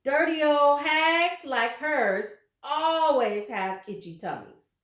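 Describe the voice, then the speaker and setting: Animated, slow-paced woman reading a story. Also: high voice, roomy sound. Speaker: read-aloud storyteller. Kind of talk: expressive children's storybook narration with asides.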